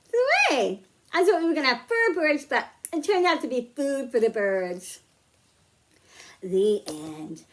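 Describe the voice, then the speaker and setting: A woman hosting a children's storytime, speaking in simple, encouraging terms to young kids, with sing-song emphasis when reading and explaining. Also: high-pitched. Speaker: children's librarian and storytime host. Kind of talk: children's storytime and craft demonstration.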